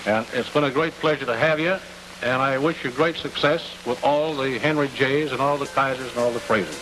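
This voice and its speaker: A man's voice: mid-range voice, brisk. An American man hosting a 1950s television interview, asking long questions in a folksy way. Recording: noisy, hissy 1950s television broadcast.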